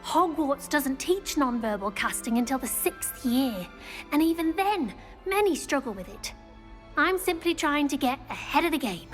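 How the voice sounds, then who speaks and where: An actress playing an ambitious, brisk, studious teenage witch in a video game, with a polished British accent. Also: high-pitched, animated, faint music behind. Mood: matter-of-fact.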